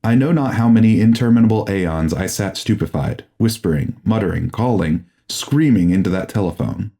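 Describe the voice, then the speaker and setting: A man reading a horror audiobook as a grave, formal, deliberate and dramatic narrator. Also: on the low side, lively intonation, gravelly, warm tone.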